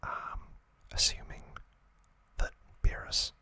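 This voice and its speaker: A man hosting ASMR, narrating in a breathy, unvoiced whisper with a gentle, deliberate manner and frequent pauses. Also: dry recording. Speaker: ASMR channel host.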